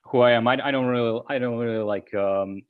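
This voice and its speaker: A man's voice: medium pitch, brisk, smooth. Hesitant man, lo-fi audio. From Serbia. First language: Serbian. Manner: hesitant, many filler words. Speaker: guest. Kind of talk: casual interview answers.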